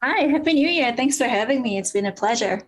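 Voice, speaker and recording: A woman's voice: high-pitched, animated, quick pace. A young woman from Singapore, telling her story fluently and eagerly as an interview guest on a remote call. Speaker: guest, travel blogger.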